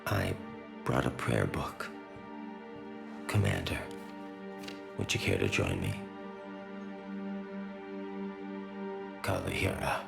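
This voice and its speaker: An actor playing a grieving young alien son, reverent, formal and measured, with a very deep, gravelly voice. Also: male voice, heavily acted. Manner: measured, solemn, polite.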